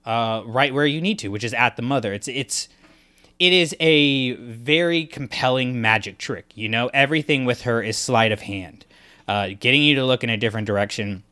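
A man's voice: medium pitch, normal speed, bright, forceful tone. A man co-hosting a podcast, talking through a fan analysis in an emphatic way and acting out imagined reactions. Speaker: podcast co-host.

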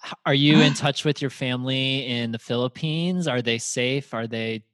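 A man's voice: medium pitch, moderate pace, fairly bright tone, no echo. A man hosting a casual podcast, upbeat and chatty, laughing often as he talks with a guest over a call. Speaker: podcast host.

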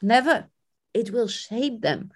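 A woman's voice: on the high side, sing-song, slow, breathy edge. Emphatic woman from France. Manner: emphatic, repeats for stress. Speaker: panel speaker. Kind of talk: panel discussion talk.

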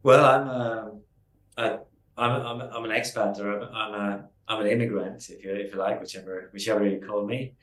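A man from Estonia, a company founder, answering interview questions in a halting way with many restarts. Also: fairly low pitch, fairly even intonation, fairly bright tone, echoey room.

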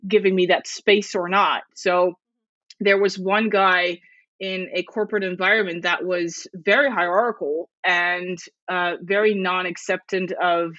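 A woman's voice: on the low side, fairly bright tone, no echo, lo-fi sound. A woman whose first language is Dutch, a podcast guest chatting thoughtfully with frequent restarts and fillers. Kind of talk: casual interview chat.

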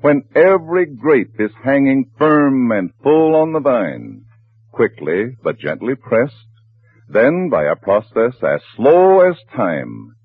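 A man reading a polished advertisement as a 1940s radio announcer, with a husky voice. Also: medium pitch. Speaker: commercial announcer. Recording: tinny, echoey 1940s radio broadcast.

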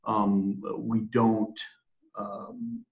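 A man's voice: fairly low pitch, flat delivery, very slow, muffled recording. A man, a sales director, explaining plans in an interview, hesitant with many fillers. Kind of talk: conversational business interview.

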